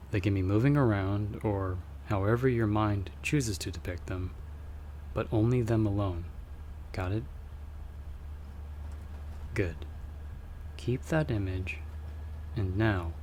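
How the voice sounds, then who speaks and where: A man playing a calm, guarded magic-wielding investigator in an ASMR audio roleplay, speaking in a measured, patient, instructive way with pauses. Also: fairly low pitch, slight background noise, lightly acted.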